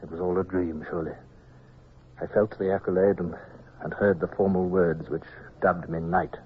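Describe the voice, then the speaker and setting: An actor playing an earnest, anxious naval captain, narrating introspectively in an old-time radio drama. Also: male voice, sits low, steady tone, moderate pace, narrow, tinny audio, close to an everyday voice. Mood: dazed. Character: earnest, anxious male naval captain telling his story. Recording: phone-thin old radio recording, hissy.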